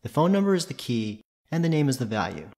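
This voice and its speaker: A man's voice: medium pitch, very animated, fast talker, a little mellow. A man presenting a tutorial, reading scripted technical narration in a methodical, matter-of-fact way.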